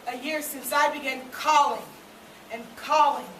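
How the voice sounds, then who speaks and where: A woman performing a solemn dramatic monologue on stage, deliberate and with dramatic pauses. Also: sits high, steady tone, fairly bright tone. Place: theatre stage, recorded from the audience. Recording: echoey hall from far off, faint noise.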